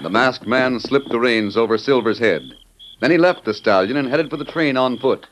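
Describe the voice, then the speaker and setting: An actor narrating a western adventure serial as a solemn storyteller, with the formal dramatic delivery of old-time radio. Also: male voice, medium pitch, normal speed, a bit bright, a little hiss or hum, natural voice. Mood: dramatic, suspenseful. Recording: muffled vintage radio recording.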